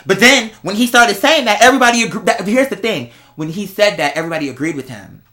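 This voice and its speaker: A vlog host chatting casually and candidly to viewers, telling stories in an animated, slangy way. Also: medium pitch, fast. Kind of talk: casual confessional chat to viewers.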